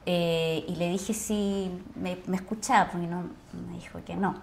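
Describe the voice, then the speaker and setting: A woman's voice: on the low side, steady tone, a little slow, creaky, heavy background noise. A woman with a Chilean accent, an actress speaking casually as a podcast guest.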